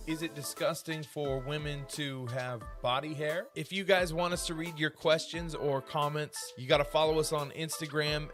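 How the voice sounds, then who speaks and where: A man wrapping up a podcast episode with rambling, slangy plugs for social media. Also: on the high side. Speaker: podcast host. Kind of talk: casual podcast outro.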